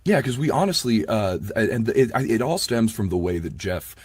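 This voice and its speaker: Deep, husky-voiced man in conversation. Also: sing-song, moderate pace, a little mellow, no echo. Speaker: guest, audiobook narrator and producer. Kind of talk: casual interview conversation.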